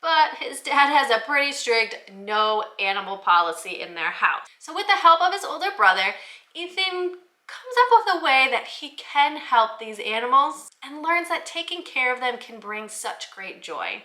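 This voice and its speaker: A woman's voice: sits high, sing-song, normal speed, bright, forceful tone, reverberant. A woman hosting a review channel in an enthusiastic, explanatory way, speaking directly to viewers in an upbeat presenting style. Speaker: host of a children's book review channel. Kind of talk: upbeat scripted vlog presentation to teachers.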